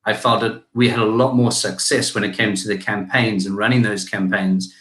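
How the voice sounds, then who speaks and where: A man's voice: lowish voice, fairly even intonation, a bit bright, reverberant. A man with a South African accent, a business owner talking as a guest in a casual interview, often restarting his sentences. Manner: analytical, halting with many restarts and fillers.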